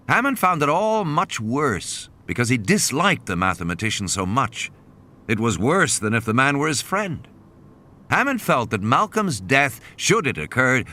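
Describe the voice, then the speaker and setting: A man's voice: mid-range voice, bright, forceful tone. A man narrating a novel as a scripted dramatic audiobook. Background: music and sound effects.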